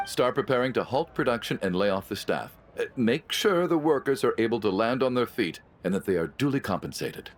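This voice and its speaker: A man's voice: medium pitch, animated, brisk, few pauses, natural voice. A man playing a weary but resolute factory director in a video game drama. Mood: resigned.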